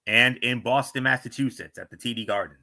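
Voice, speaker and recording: A man's voice: mid-range voice, fairly quick, vocal fry, pushed and bright. A man hosting a sports talk show, reading out team rosters with small stumbles and chatty asides. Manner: reads names with stumbles and asides.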